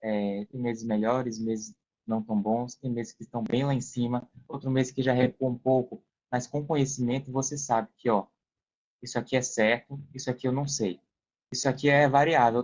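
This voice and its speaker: A Brazilian man explaining things casually as an interview guest, repeating points for emphasis. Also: mid-range voice. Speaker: podcast guest, affiliate marketer. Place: online video call. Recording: dull, lo-fi call audio.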